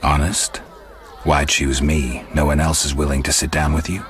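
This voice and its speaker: An actor playing a gruff, stoic monster hunter for hire in a video game, speaking in a terse, deadpan way. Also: male voice, extremely low pitch, moderate pace. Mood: dry, wary. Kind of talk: video game dialogue acting.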